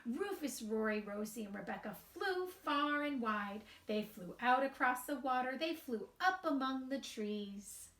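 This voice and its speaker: A woman with a fairly high voice reads a children's story very slowly and expressively. She leaves emphatic pauses between phrases. The sound is roomy and echoing. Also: expressive, fairly bright tone. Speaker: picture book reader. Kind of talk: read-aloud children's storytelling.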